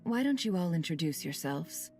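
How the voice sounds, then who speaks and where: Cool shrewd boss lady from a game, lowish voice, dry sardonic, soft music under it. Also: very animated. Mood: businesslike. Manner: measured, sardonic. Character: shrewd, cool, wry woman boss and deal-maker. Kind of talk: scripted video game voice acting.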